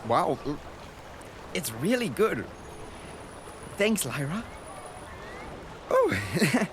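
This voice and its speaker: Boy traveler, male actor, radio drama: earnest, descriptive, lively intonation, noisy waterside backdrop. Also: a little high, drawn-out words, exaggerated voice. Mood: amazed, excited. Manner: earnest, descriptive, full of wonder. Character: earnest, wonder-struck boy traveler recording for his sister. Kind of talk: radio drama acting with narrated audio diary.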